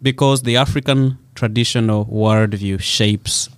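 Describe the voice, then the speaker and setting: A male guest speaking slowly and deliberately, with light humor. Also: fairly low pitch, steady tone, clear, resonant tone, no room sound. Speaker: guest, panelist. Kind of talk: casual panel conversation.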